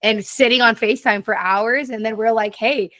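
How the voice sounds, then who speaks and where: A woman's voice: a little high, fairly even intonation, moderate pace, pushed and bright. A woman in her twenties co-hosting a casual podcast, rambling, laughing often and talking over the others. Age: 20s. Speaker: podcast co-host. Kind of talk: casual chat.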